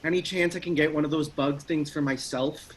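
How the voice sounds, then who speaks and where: An actor playing a boyish young adventurer in a sci-fi table read, with a husky voice. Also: male voice, on the high side, fairly even intonation, fairly quick, close to an everyday voice, low-quality recording. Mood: wary.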